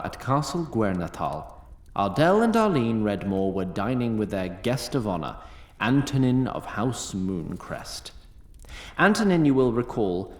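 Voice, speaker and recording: A man reading scripted fantasy narration with a wry, literary, storytelling manner. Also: fairly low pitch. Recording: roomy sound, faint background noise.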